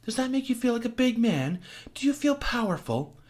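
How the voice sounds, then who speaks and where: A man's voice: extremely high pitch, sing-song, a little fast, darkish tone, pitched above the performer's own voice. A man voicing a defiant young woman held captive, who speaks in a cutting, scornful and blunt way.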